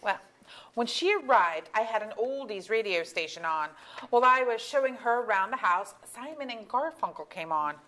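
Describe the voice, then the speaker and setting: Woman playing frazzled middle-aged mother, fairly high voice, very lively intonation, wry, candid, stage comedy. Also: normal speed, low-quality recording. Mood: chatty, amused. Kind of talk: staged play reading, conversational comedy. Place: auditorium stage.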